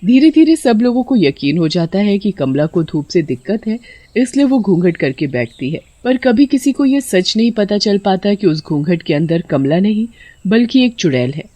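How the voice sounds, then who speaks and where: A woman's voice: medium pitch, very animated, moderate pace, dark tone, no room sound. A woman narrating a scripted story as its storyteller.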